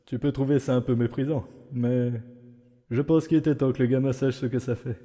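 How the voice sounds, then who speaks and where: A man voicing a weary, dry, guarded skeleton in a comic dub, speaking in a laconic, solemn and measured way. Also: mid-range voice, fairly even intonation, dark tone, natural voice. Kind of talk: fan comic dub acting. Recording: long hall reverb, music underneath.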